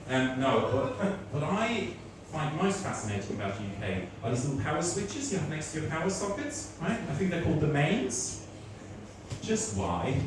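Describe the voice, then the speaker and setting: A man from Switzerland with a slight German accent performing a stand-up comedy set, deadpan with bursts of exaggerated delivery. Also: on the high side, distant mic. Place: comedy club stage before a laughing audience. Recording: roomy club audio with audience noise.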